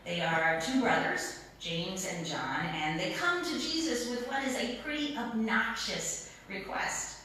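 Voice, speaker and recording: A middle-aged woman pastor preaching in a plain-spoken, informal storytelling way, pausing and repeating words for emphasis. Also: medium pitch, animated, pushed and bright. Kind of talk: sermon, conversational storytelling. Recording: very echoey room, distant mic, noisy.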